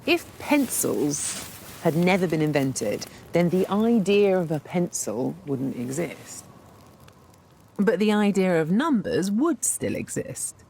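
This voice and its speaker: A woman in her thirties presenting a documentary in clear, measured scripted narration. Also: on the low side, big pitch swings, darkish tone, slight background noise. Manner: clear, measured, thoughtful delivery.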